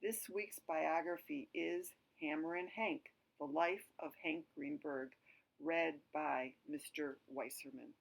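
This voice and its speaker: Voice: mid-range voice, fairly even intonation, slow pace, creaky, low-quality recording. An announcer delivering a scripted introduction to a read-aloud book segment.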